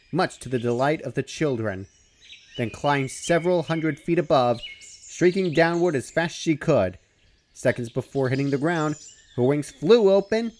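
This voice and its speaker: Animated male storybook narrator. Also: mid-range voice, a little hiss or hum. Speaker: fan fiction narrator.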